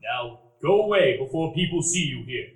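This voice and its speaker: Guy playing a big gruff bootlegger, never laughs, slow clipped words, kinda high, echoey live radio play. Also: lively intonation, lightly acted. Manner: curt, slow broken phrases. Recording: echoey room, live audience.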